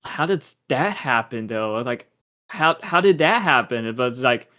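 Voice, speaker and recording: A young man talks casually on a podcast, drifting through half-finished thoughts and restarting sentences. His voice sits at an ordinary middle pitch. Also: animated, measured pace, sharp tone. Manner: rambling, trails off and restarts. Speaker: podcast co-host. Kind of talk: casual chat.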